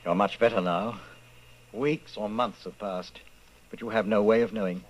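An actor playing a solemn narrator in an old-time radio drama, telling the story theatrically. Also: male voice, on the low side, fairly even intonation, normal speed, background noise, natural voice. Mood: solemn, ominous. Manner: theatrical, second-person storytelling. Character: solemn male radio drama narrator addressing listener as protagonist.

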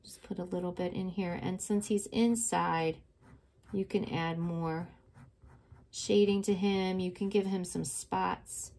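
A woman's voice: on the low side, fairly even intonation, slow, a little mellow. A middle-aged woman teaching a step-by-step drawing lesson to children, patient and encouraging, recorded at her desk on the camera mic. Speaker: art teacher.

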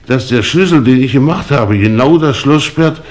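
A man's voice: medium pitch, normal speed, lightly acted. An actor in a German crime radio play, playing a stubborn, plain-spoken tradesman, blunt and folksy. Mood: insistent.

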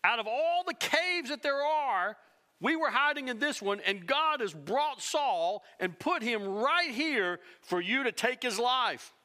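Animated, bright-voiced male pastor. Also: extremely high pitch, unhurried. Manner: animated, gesturing widely, voice swinging from loud to hushed. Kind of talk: sermon. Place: church stage.

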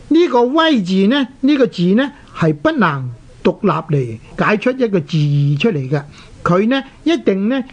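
Dull lo-fi radio, scholarly Hong Kong man. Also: sits high. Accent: Hong Kong Cantonese. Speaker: radio presenter explaining Chinese words. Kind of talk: scholarly scripted lecture with recited verse.

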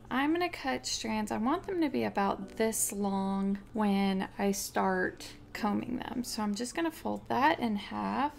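A woman's voice: a little high, vocal fry. A young woman hosting a casual crochet tutorial at home, chatty and upbeat, laughing often. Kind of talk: casual step-by-step craft tutorial. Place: home craft room, indoors.

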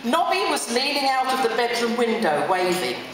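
A middle-aged woman gives an expressive reading from a book at a lectern. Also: a little high, moderate pace, crowd noise. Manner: animated, acts out dialogue. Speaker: reader at a memorial event. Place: hotel function room, on stage. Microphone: stand mic at lectern through PA, recorded from audience. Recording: distant, echoey hall with clear noise.

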